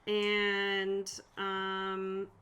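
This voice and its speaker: Bright-voiced woman running a tabletop game. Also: medium pitch, completely flat delivery, extremely slow. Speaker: game master and player in a tabletop roleplaying stream. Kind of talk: casual game narration and rules talk. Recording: faint background noise.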